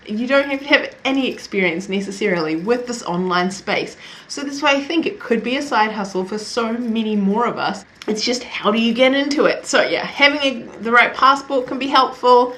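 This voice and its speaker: A woman's voice: fairly high pitch, moderate pace, sharp tone. A young woman from New Zealand with a Kiwi accent, vlogging to camera in a chatty way, often laughing at herself. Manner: chatty, laughs often, self-deprecating asides. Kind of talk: casual talk to camera.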